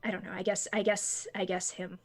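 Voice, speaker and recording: A woman playing a troubled female soldier at a tabletop game, speaking haltingly and restarting as she hunts for words. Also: mid-range voice, level tone, soft background music, lightly acted. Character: doubting woman soldier, earnest and unsure. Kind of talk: in-character tabletop roleplay.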